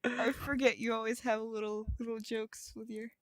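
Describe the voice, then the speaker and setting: A woman's voice: on the high side, flat delivery, normal speed, no echo. A woman co-hosting a podcast in casual, joking chat, rambling off on tangents. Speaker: podcast co-host.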